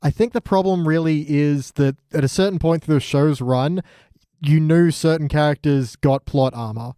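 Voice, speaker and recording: A man's voice: on the high side, normal speed, dark tone, clean tone, no echo, clean studio sound. A man hosting a podcast in casual chat, addressing people in a chummy, informal way. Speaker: podcast host.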